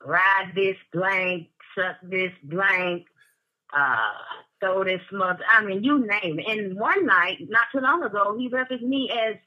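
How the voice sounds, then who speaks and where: A woman's voice: mid-range voice, measured pace, bright, forceful tone, rough recording. A woman in her forties calling in, talking candidly and laughing, with dramatic exclamations. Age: 40s. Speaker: caller. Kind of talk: casual chat.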